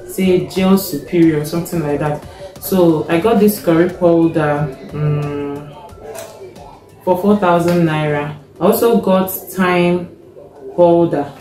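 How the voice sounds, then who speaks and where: A young Nigerian woman with a Nigerian accent, casually showing her grocery haul, taking her time, checking a receipt and often pausing with fillers. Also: fairly low pitch, mellow. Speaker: vlogger. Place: home kitchen, indoors. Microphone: fixed camera in front. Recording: echoey kitchen, faint music.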